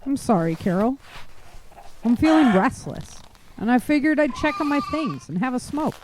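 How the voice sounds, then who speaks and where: Determined female survivor, played by a woman, slightly high and animated, restless, audio drama. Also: normal speed. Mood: polite, restless. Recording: clearly noisy, clicks and farm animal sounds.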